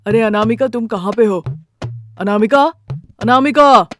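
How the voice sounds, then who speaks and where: A woman's voice: a little high, a little slow, fairly bright tone, faint music behind. A woman voicing an irritated husband in a cartoon family story, calling out impatiently. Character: irritated husband searching for his missing wife. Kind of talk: animated moral-story character voice.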